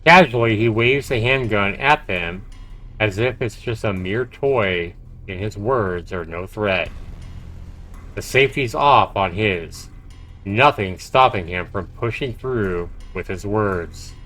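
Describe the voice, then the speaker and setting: Low-voiced man reading game narration. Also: slow, fairly bright tone. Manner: dramatic reading, profane asides. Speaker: game livestreamer. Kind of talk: reading game narration aloud with casual commentary. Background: faint game music.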